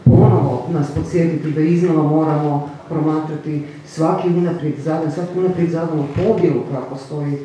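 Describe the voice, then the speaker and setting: A woman panelist speaking quickly at a panel discussion, searching for words as she goes. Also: deep voice, fairly even intonation, warm tone. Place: cinema hall panel table. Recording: echoey hall, distant mic.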